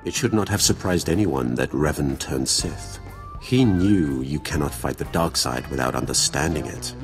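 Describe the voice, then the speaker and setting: A very low-pitched, ancient Sith lord from a video game, proud and grim. He speaks formally and solemnly, with cold disdain, over background music. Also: moderate pace. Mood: solemn recounting. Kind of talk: dramatic video game voice acting.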